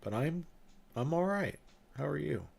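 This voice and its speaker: A man's voice: a little high, sing-song, unhurried, vocal fry, a little mellow, husky. A man with a stuffed-up, nasal voice from a cold, chatting loosely with viewers in a livestream, rambling with fillers and restarts. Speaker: livestream host and ghost-hunting event organizer. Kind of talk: casual livestream chat with viewers.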